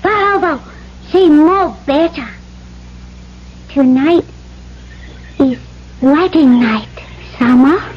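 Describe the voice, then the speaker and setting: Very high-voiced young island bride. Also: female voice, takes it slowly, pauses often. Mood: tender, shy. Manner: simple broken English mixed with island words. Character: gentle young Polynesian island bride, devoted and trusting. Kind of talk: old-time radio drama acting. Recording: muffled old radio broadcast, faint hum.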